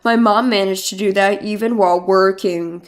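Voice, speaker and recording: Woman as domineering husband, story narration, bossy, lecturing, pitched down, faint music behind. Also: fairly even intonation, moderate pace, close to an everyday voice. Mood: demanding. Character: domineering, demanding, condescending husband.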